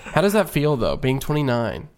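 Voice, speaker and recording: A man's voice: medium pitch, wildly swooping pitch, moderate pace, a crowd in the background. A man co-hosting a casual sports podcast, chatting in an easygoing, laid-back way. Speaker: podcast co-host.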